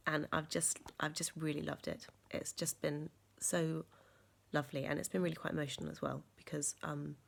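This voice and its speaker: Croaky low-voiced woman. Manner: earnest, thoughtful, many ums and restarts. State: croaky voice from a sore chest. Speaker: vlogger. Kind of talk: casual reflective vlog.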